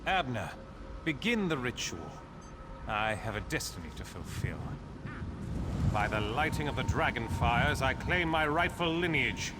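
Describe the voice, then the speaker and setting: A man's voice: mid-range voice, lively intonation, a little slow, very noisy, natural voice. A man playing a proud, ambitious nobleman claiming a throne, speaking in a grand, solemn fantasy game style. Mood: solemn, commanding. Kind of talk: dramatic fantasy game voice acting.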